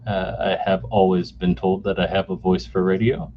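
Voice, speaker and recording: A man in his forties hosting a solo podcast off the cuff, halting with many fillers and restarts, recorded on a camera mic indoors. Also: low-pitched, flat delivery. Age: 40s. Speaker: podcast host. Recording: dull lo-fi camera mic sound with faint noise.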